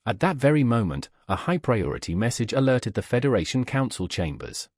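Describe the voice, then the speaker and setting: Animated male sci-fi story narrator. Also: mid-range voice, fairly quick, slightly rough, dry recording, clean studio sound. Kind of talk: scripted science fiction narration, wry.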